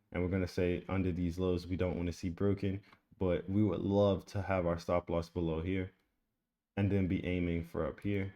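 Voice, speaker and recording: A man's voice: low-pitched, mellow. A man giving a casual trading lesson, talking through a chart on screen in a loose, repetitive way. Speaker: trading educator. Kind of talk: screen-share trading tutorial.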